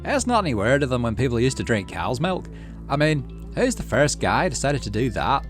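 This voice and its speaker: Man putting on a cheerful, folksy farmer dad, raised pitch. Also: very animated, fairly quick, over music, lightly acted. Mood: proud. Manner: folksy, chummy, explanatory. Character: cheerful folksy farmer dad showing his child around. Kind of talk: visual novel character read aloud.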